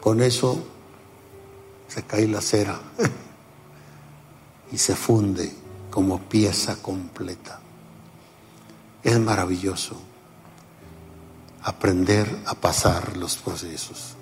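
Elderly male preacher, deep and deliberate, in a reverberant hall with faint music. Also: a little mellow. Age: 70s. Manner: unhurried, emphatic, laughs at himself. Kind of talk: sermon.

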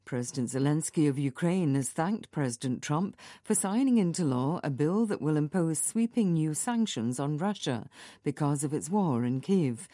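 A woman reads a radio news bulletin in a low, mellow voice. Her delivery is measured and formal, recorded very dry in a studio. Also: no echo. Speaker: newsreader.

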